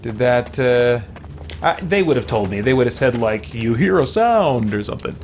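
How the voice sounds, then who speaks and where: A man's voice: medium pitch, very animated, moderate pace, a little hiss or hum. A man livestreaming a game in a casual way, musing aloud as he chats and reads game text. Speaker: game streamer.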